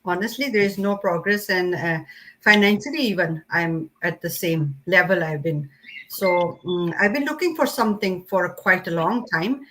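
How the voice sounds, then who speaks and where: A woman's voice: fairly low pitch, moderate pace, fairly bright tone, slight background noise, rough recording. Candid middle-aged woman guest. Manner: candid, hesitant, with fillers. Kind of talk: casual video call interview.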